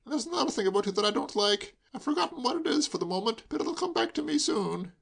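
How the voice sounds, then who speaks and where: A man's voice: very high voice, animated, quick pace, creaky, a bit bright, slightly rough, voice pushed up, full character voice. A man voicing a drunk, jubilant young man who declaims Shakespeare with exuberant theatrical flourish. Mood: querulous. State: tipsy.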